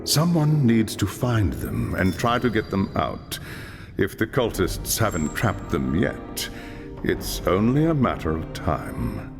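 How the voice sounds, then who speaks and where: A grave elder elf lore master, hands out orders in a low, lively voice. His commanding, measured words ring with a long reverb over game music. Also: creaky, full character voice. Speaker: quest giver.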